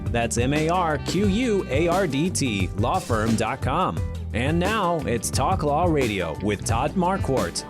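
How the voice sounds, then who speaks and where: An American man announcing a scripted radio show intro in a showy delivery. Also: a little high. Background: guitar music bed.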